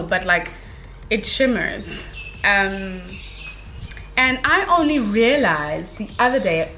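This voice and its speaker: A young woman giving a casual, chatty book review, speaking in an expressive, gushing, slangy way with dramatic emphasis. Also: a little high, slight background noise.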